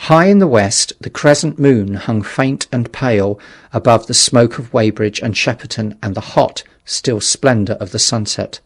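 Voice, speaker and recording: A man's voice: medium pitch, normal speed. A man narrating a literary audiobook in a dramatic, scripted storytelling style.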